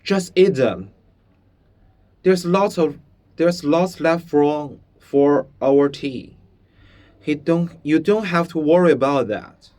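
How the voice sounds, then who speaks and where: Man voicing an emphatic, high-pitched young boy. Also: slow, darkish tone. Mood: insistent. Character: bold, generous young boy, confident and insistent.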